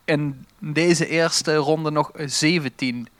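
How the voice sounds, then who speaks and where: A man's voice: a little high, steady tone, slow, a bit bright, clean tone, no echo. A man with a Dutch Limburg accent chatting casually as a podcast co-host. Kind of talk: casual chat.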